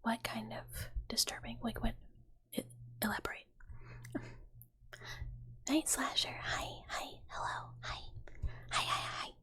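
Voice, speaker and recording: A woman livestreamer chatting with viewers in a playful, cutesy ASMR style, in a breathy whisper. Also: a little high, steady tone, takes it slowly, pauses often, pushed and bright.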